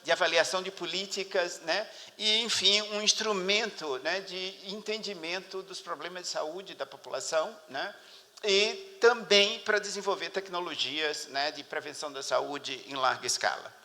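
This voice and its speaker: A man's voice: high-pitched, normal speed, pushed and bright. A man speaking on a panel in a conference talk, tagging almost every phrase with 'né'. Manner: tag-heavy, constantly adding 'né'. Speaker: panel speaker, health researcher. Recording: long hall reverb.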